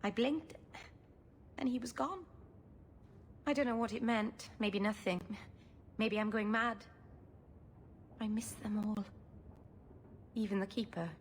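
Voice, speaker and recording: A woman playing a gentle, lonely young elf in a video game, wistful and self-deprecating. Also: a little high, slow, pauses often. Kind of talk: video game cutscene acting. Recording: faint background noise.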